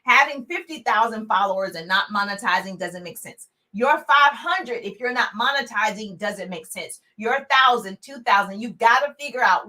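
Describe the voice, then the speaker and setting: High bright big-voiced woman coach. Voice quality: big, full voice. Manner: energetic, preachy, talks straight to viewers with repeated calls to share. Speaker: motivational speaker and business coach. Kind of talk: live-stream motivational coaching talk.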